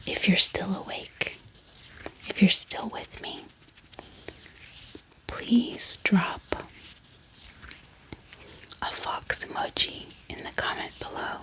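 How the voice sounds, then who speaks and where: A woman whispers softly and breathily in a gentle, chatty ASMR style, talking informally to her viewers close to the microphone. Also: slow, long pauses. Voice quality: breathy, mostly unvoiced whisper. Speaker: ASMR video host. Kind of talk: soft-spoken whispered ASMR.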